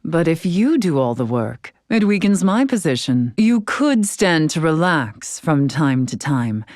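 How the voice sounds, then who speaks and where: A woman's voice: low-pitched, big pitch swings, measured pace, darkish tone, no room sound, studio quality. An actress playing a composed, regal woman who leads a tribe in a video game, teasing at times, with formal, archaic phrasing. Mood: coaxing, teasing. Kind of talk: scripted video game voice acting.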